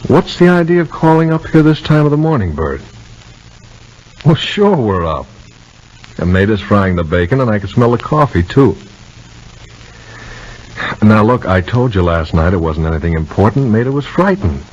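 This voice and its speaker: A man's voice: fairly low pitch, sing-song, normal speed, long pauses, a little mellow. An actor playing an easygoing, protective married man in a 1950s radio soap opera, talking in a folksy, conversational way. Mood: reassuring. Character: Bill, easygoing married man and protective older-style brother. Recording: dull, noisy old radio recording.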